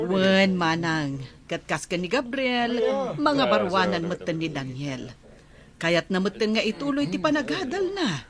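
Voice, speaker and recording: A woman's voice: medium pitch, very animated, slow pace, long pauses, crowd noise. A woman with an Ilocano accent acting a kindly, encouraging school principal in a radio drama. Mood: cheerful.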